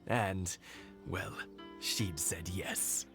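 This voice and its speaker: A fond old husband. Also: male voice, deep voice, vocal fry, soft background music, heavily acted. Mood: nostalgic. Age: 70s. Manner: warm reminiscing, slow storytelling. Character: gentle elderly husband, fond and nostalgic. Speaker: old villager. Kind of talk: video game voice acting.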